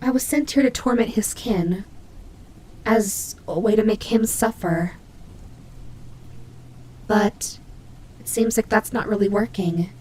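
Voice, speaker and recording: A woman's voice: mid-range voice, slow pace, pauses often, darkish tone, a little hiss or hum, lightly acted, poor audio. A woman playing a prickly but caring female nightmare demon rabbit in an audio roleplay, speaking to the listener with hesitant, teasing, flustered delivery. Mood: subdued, confessing.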